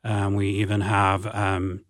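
A man, talking slowly as a podcast guest, with many ums and restarts. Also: lowish voice, monotone, a bit bright, no echo, studio quality. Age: 40s. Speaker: district advanced academics coordinator.

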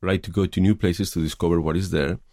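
A man's voice: sits low, steady tone, quick pace, a little mellow, no echo, clean studio sound. A middle-aged man from Spain with a Spanish accent, answering interview questions thoughtfully, with many hesitations and fillers. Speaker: film director.

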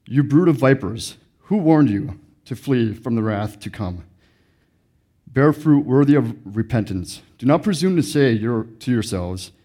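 A man reading scripture aloud, steady and emphatic. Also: mid-range voice. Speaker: scripture reader.

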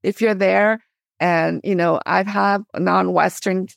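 A woman's voice: on the low side, fairly even intonation, slow, gravelly, no room sound, clean studio sound. A middle-aged woman from Korea speaking enthusiastically as a guest in a conversational podcast interview. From born in Korea, immigrated in 1975. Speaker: podcast guest, theologian and teacher.

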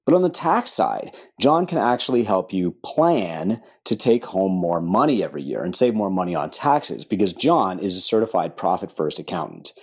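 A man's voice: low-pitched, sing-song, moderate pace, few pauses, breathy edge. A man reading a sponsor message on a podcast with an enthusiastic, emphatic delivery. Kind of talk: sponsor read.

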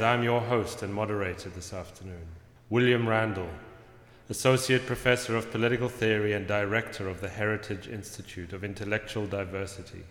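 An actor playing a courteous, slightly pompous professor moderating a panel in a radio play, heard in an echoey auditorium. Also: male voice, fairly low pitch, a bit bright, lightly acted. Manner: formal, pompous. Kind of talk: formal introductions in a radio play. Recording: echoey auditorium with long reverb.

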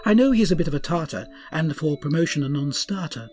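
A man's voice: on the high side, animated, fast, darkish tone, soft background music, natural voice. A man playing a grand, pompous starship captain who speaks in courtly rhymes. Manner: pompous, rhyming, courtly speech.

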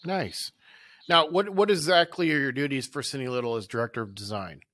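A man hosting an interview podcast, easygoing and friendly as he asks his questions. His voice sits at an ordinary middle pitch. It sounds dry and close, with no room around it. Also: brisk, no echo. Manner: friendly, relaxed questioning with fillers. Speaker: podcast host.

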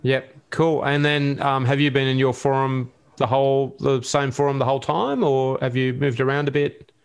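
A man hosting a casual podcast interview. He asks his questions in a loose, easygoing way. He keeps the guest talking with quick, friendly acknowledgments. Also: mid-range voice, clean tone. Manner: relaxed, gives brief encouraging acknowledgments. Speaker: podcast host.

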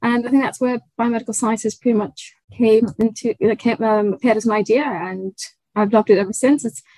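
A woman's voice: fairly high pitch, fairly even intonation, brisk, a little mellow, no room sound, rough recording. Young woman, research fellow guest, reflective and hesitant, dry close recording. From Tanzania. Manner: thoughtful, hesitant with fillers and restarts. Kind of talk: conversational interview.

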